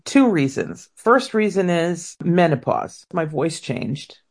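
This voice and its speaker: Low, gravelly-voiced woman in her fifties. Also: animated, slow. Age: 50s. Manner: warm, reflective storytelling with repeated words. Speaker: opera singer guest. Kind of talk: interview answers.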